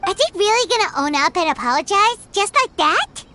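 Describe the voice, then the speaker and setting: A woman voicing a tiny, excitable female fairy companion in a video game, with a squeaky, childlike way of exclaiming. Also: fairly quick, a little hiss or hum, heavily acted. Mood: curious. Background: game music.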